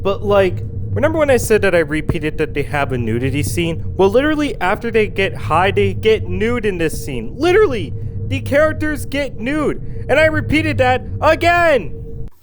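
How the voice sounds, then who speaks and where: Hoarse, excitable young male reviewer. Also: sits high, moderate pace, noisy background. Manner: excitable, rambling, jokey. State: hoarse, losing his voice. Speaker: show reviewer. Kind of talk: casual video review.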